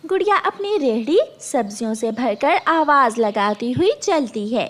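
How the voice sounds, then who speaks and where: A woman's voice: a little high, animated, a little slow. A woman reading scripted narration for a children's cartoon story. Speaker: story narrator, also voicing bird characters.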